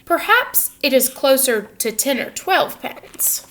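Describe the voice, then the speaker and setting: A high-voiced old peddler from a storybook played by a woman reading aloud, cheerful and given to sing-song calls as he hawks his goods. He is a well-worn old salesman who still likes to pitch his wares. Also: big pitch swings, takes it slowly, a bit bright, a little hiss or hum, heavily acted. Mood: resigned. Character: cheerful old male peddler hawking feet, sighing with age. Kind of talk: storybook read-aloud character voice.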